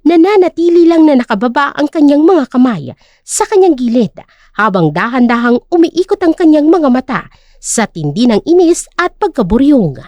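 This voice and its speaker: A woman's voice: sits high, big pitch swings, normal speed, darkish tone, no echo. A woman narrator reading a scripted dramatic story.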